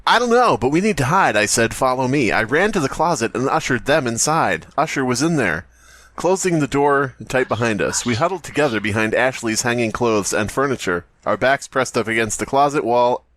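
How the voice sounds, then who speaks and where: A man co-hosting a comedy horror podcast, with pitch that swings widely as he reads and riffs. His delivery is theatrical and joking, with swearing thrown in. The sound is dry, with very little room. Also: expressive, brisk, fairly bright tone, dry recording. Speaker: podcast host. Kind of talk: reading horror story aloud with joking banter.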